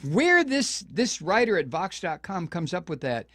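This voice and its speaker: A man's voice: high-pitched, big pitch swings, moderate pace, fairly bright tone, no room sound, studio quality. An older man hosting a political talk show, delivering indignant commentary with frequent restarts and stumbles. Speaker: radio talk show host.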